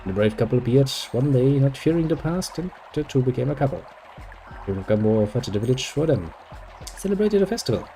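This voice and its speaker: A man's voice: medium pitch, animated, brisk, dark tone, faint music behind, rough recording. A man reading game dialogue aloud and adding dry, sarcastic jokes as he plays. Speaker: gameplay video host.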